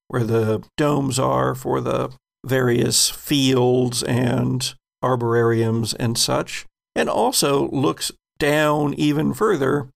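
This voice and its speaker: A man narrating a tabletop game as game master, describing the scene in measured, deliberate phrases. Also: mid-range voice, creaky.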